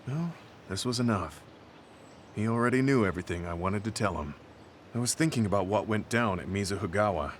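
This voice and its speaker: An actor playing a stoic, earnest veteran soldier in a video game, speaking in a serious, reserved, measured way. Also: male voice, on the low side, expressive, a little hiss or hum, lightly acted. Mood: sorrowful, subdued. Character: stoic, earnest male veteran soldier, quietly grieving and resolute. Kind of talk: English video game voice acting. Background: soft background music.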